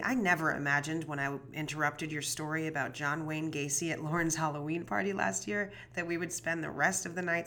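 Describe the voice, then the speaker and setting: A woman's voice: low-pitched, fairly bright tone. A woman playing an anxious rambling podcaster. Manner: chatty, rambling, swearing, self-deprecating. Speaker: true crime podcast host. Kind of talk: audio drama monologue.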